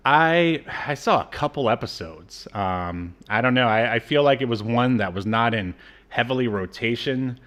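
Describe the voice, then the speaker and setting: A man's voice: medium pitch, lively intonation, moderate pace, a bit bright. Wry man chatting on a podcast. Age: 30s to 40s. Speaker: podcast co-host. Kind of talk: casual chat recapping a cartoon.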